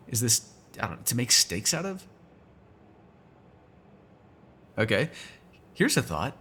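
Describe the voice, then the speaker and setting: A man's voice: mid-range voice, fairly bright tone, slight background noise. A man playing a sarcastic, teasing vampire who kills without regret, in casual, profane roleplay banter. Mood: teasing, exasperated. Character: snarky male vampire, restless unrepentant killer, teasing. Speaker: voice actor in an audio roleplay. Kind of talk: ASMR roleplay, casual one-sided dialogue.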